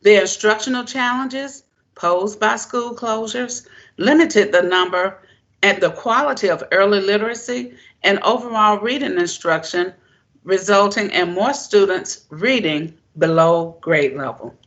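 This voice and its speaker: A woman giving a formal prepared keynote speech as a presenter, in deliberate, emphatic, measured phrases. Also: mid-range voice, a bit bright, lo-fi sound. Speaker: presenter, education keynote speaker.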